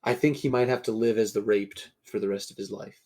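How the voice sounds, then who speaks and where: A man's voice: on the low side, a little fast, darkish tone. A man co-hosting a podcast in casual banter, joking crudely and swearing often. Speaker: podcast co-host.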